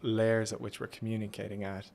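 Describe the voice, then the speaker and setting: An Irish man hosting a reflective conversation, musing slowly and thoughtfully. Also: on the low side, level tone, vocal fry, a little mellow, slight background noise. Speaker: podcast host.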